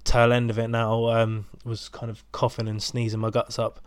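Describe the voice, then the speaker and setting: Brief: male podcast host with a head cold, nasal and stuffy, monotone chatty delivery, dry close-miked sound. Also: medium pitch, normal speed, clear, resonant tone, dry recording. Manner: rambling, many ums and restarts. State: bunged up with a cold. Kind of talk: casual solo podcast intro.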